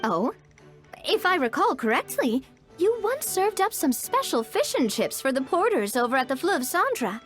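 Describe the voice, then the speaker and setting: A woman's voice: high voice, big pitch swings, moderate pace, fairly bright tone. A woman playing a poised, genteel young business president in a video game, speaking politely and eloquently. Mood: thoughtful. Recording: music behind.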